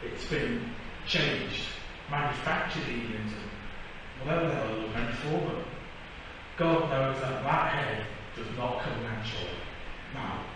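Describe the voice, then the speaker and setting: A man's voice: mid-range voice, animated, measured pace, natural voice. An actor playing an uneasy young man exploring a ruined building, talking hesitantly and trailing off. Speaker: tape narrator in a fan horror video. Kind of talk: found-footage horror narration. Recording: echoey room from far off, noisy.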